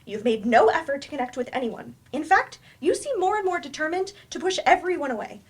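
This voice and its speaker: A woman's voice: high-pitched, sing-song, fairly quick. A woman acting in a staged play reading as a frank, exasperated therapist, pointed and confrontational with her patient.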